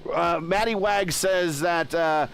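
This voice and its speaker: A man's voice: high-pitched, creaky, a little hiss or hum. Man calling a virtual truck race. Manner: excitable play-by-play, chatty with stream chat. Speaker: lead race commentator. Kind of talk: live sim racing commentary.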